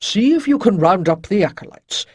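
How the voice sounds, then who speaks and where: A narrator voicing an elderly wise male master, speaking in a patient, gently lecturing way. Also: medium pitch, expressive, moderate pace, slightly rough, dry recording, clean studio sound, voice pushed up. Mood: kindly.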